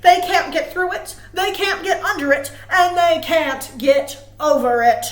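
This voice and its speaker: A woman's voice: very high voice, animated, measured pace, reverberant, voice pushed up. A woman voicing a determined, indignant man who gardens, in a children's storybook, with an emphatic, huffy, boastful delivery. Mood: boastful, defiant.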